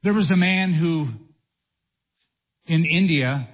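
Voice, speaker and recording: A man preaching an expository sermon as a pastor, with a husky voice and deliberate, emphatic phrasing built on rhetorical questions. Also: a little high, muffled recording.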